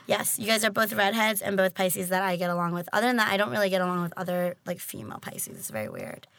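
Raspy young woman, lively bright voice. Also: medium pitch. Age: 20s. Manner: teasing, blunt, quick to joke. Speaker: podcast host. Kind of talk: casual chat.

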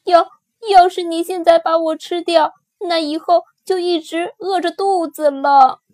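A crybaby little boy spinosaurus, played by a woman, all high squeals and childlike pleading. The storybook voice sits far above a grown-up's, drawn out slowly. It is recorded close and dry. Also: fairly even intonation, darkish tone, no room sound.

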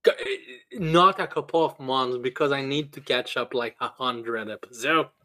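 A man co-hosting a podcast, chatting casually in a halting way and often repeating his words. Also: medium pitch, lively intonation, sharp tone. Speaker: podcast co-host. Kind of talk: casual chat.

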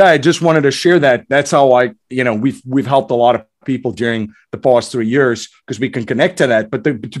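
A man in his forties, originally from South Africa, guest on a podcast interview, telling his story with plenty of fillers and restarts over a remote call. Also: medium pitch. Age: 40s. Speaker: guest, investor and entrepreneur.

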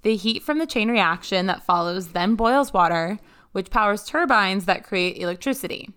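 A young woman hosting a podcast, chatting and teasing in a wry, brisk way and reading quiz questions aloud. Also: medium pitch, expressive, fairly bright tone, clear, resonant tone. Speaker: podcast host.

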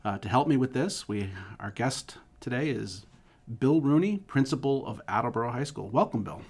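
A man's voice: medium pitch, expressive, normal speed, darkish tone. Man, podcast host, superintendent, friendly questions, some uhs. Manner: friendly, hesitant questions with fillers. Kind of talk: podcast interview hosting.

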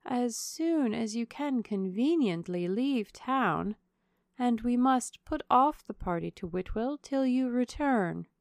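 Woman playing a genteel, measured lady of the house. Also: a little high, lively intonation, gravelly, no room sound, clean studio sound, pitched above the performer's own voice, full character voice. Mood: polite. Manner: slow, measured, polite.

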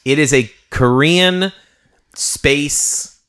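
A man's voice: a little high, very slow, fairly bright tone, smooth. A man co-hosting a podcast in casual banter, playful and repeating himself. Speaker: podcast co-host.

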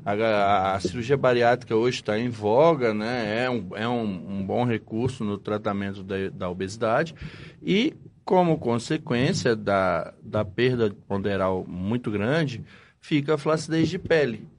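Relaxed surgeon explaining on a podcast. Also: male voice, lowish voice, unhurried, a little hiss or hum. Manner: relaxed, explaining with fillers and restarts, swears jokingly. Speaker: plastic surgeon, co-host. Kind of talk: casual explanatory chat.

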